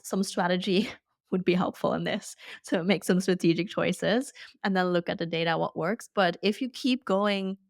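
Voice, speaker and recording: A woman's voice: lowish voice, no echo, clean studio sound. A woman hosting an interview podcast, speaking in an upbeat, enthusiastic way. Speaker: podcast host.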